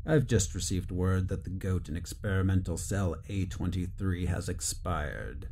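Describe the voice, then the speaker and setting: A man's voice: sits low, a little slow, a little mellow, a little hiss or hum. An actor playing a cold, condescending corporate bureaucrat who gives clipped orders and interrogates his captive. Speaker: superior officer running an interrogation.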